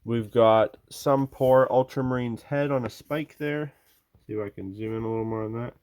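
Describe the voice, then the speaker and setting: A man's voice: medium pitch, normal speed, a little mellow, no room sound. A man hosting his hobby channel, walking through a finished model in a rambling, enthusiastic way with many fillers, a little sick and losing his voice. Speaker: miniature hobby channel host. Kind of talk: casual show-and-tell walkthrough.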